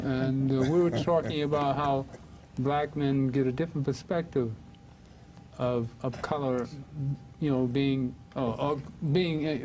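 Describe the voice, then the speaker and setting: A man's voice: medium pitch, mellow, slight background noise, low-quality recording. An older man from Harlem hosting a casual talk show, reminiscing in a rambling way with many fillers. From Harlem, New York.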